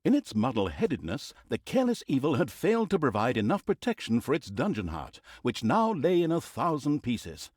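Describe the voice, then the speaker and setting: A man's voice: medium pitch, huge pitch swings, fairly quick, hardly any pauses, no room sound, clean studio sound. A male actor playing a wry, theatrical storybook narrator in a fantasy video game, with a sardonic, mock-grand delivery.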